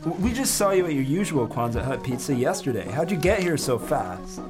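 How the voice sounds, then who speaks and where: A man's voice: a little high, very animated, fairly quick, over music. Man as a brash wisecracker in comic radio drama. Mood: wry. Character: brash, wisecracking male soldier type, bossy and skeptical. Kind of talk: comic amateur radio play acting.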